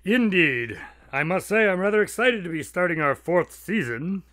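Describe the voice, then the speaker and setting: A man's voice: high voice, big pitch swings, normal speed, bright, forceful tone, dry recording, clean studio sound, full character voice. A man playing an exasperated, polite doctor. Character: polite, slightly exasperated male doctor narrator. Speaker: narrator.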